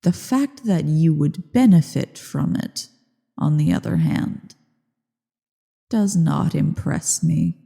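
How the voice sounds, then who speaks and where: A woman voicing an ancient evil female dragon in a slow ASMR roleplay monologue, haughty, bored and menacing, with a low, husky, creaky delivery. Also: animated, warm tone, full character voice. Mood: disdainful, darkly amused. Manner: haughty, disdainful, deliberate. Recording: long cavernous reverb.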